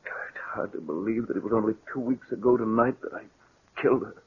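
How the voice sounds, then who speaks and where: A man's voice: lowish voice, brisk, breathy edge. A man acting a guilt-haunted, suspicious and insistent man in a 1940s radio drama. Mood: weary, haunted. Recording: muffled vintage 1940s radio recording.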